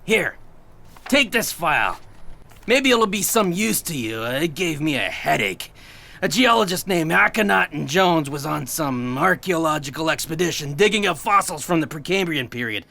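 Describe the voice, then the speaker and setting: An irritable agency chief in a comic audio drama, blustering and rambling. The voice is highish for a man, forceful and creaky, with an eccentric, exasperated air. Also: animated, normal speed, full character voice. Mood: grudging briefing. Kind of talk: comic sci-fi audio theatre acting.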